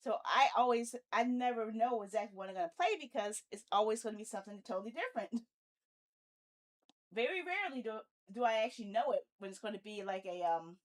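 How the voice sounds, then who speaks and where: A woman chatting casually on a live game stream, playful and animated, often muttering to herself. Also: fairly high pitch.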